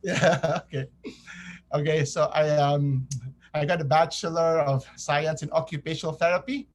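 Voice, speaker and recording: A man's voice: on the high side, steady tone, normal speed. A man from the Philippines with a Filipino accent, answering casually as an interview guest. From Cebu, Philippines.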